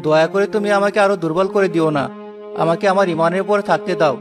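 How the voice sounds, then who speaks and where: A high-pitched male porter, played by a man, honest and steadfast. He speaks with firm principle in an animated folk tale, with music underneath. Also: fast talker, close to an everyday voice. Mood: hurt, earnest.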